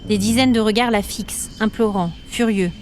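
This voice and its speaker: A woman narrating a scripted story podcast in a measured, suspenseful, dramatic way, with short weighted phrases. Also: medium pitch, fairly even intonation, background noise. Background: music.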